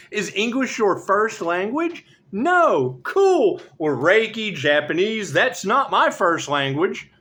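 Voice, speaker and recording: A man delivers a profane, sarcastic tarot monologue. His somewhat high voice swings widely up and down in pitch as he rants. He laughs often between remarks. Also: sing-song, slow, a bit bright, breathy edge. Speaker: tarot reader. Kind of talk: rambling monologue.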